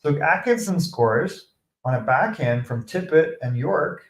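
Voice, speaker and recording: A man's voice: a little high, lively intonation, measured pace, roomy sound. A man from Canada hosting a live hockey stream, commenting on the game and answering viewers in a chatty, defensive way. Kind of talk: casual live commentary, replying to chat.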